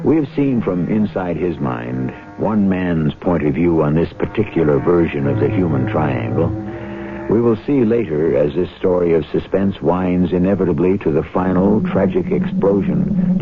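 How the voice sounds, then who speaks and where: An actor as the solemn host of a radio suspense play, narrating in a measured, theatrical manner. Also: male voice, low-pitched, expressive, background noise. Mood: solemn, ominous. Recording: tinny vintage radio, music behind.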